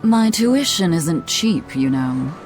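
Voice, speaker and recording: Sly, low-voiced, teasing game sorceress. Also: female voice, sing-song, slow, darkish tone, soft background music. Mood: wry, composed. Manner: teasing, haughty, drawling. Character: sly, wry, mysterious woman with an old-fashioned air. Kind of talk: English game voice acting.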